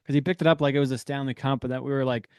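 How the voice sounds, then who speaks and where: A man's voice: medium pitch, fairly even intonation, smooth, dry recording, studio quality. A man co-hosting a podcast, joking through casual chat. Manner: quick, joking, full of fillers. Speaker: podcast co-host.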